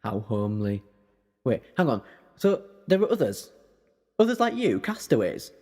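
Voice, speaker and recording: A man playing a quick-witted, sardonic time-travelling adventurer in an audio drama, speaking in a wry, emphatic way. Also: mid-range voice, sing-song, darkish tone. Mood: dry curiosity. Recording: reverberant, music underneath.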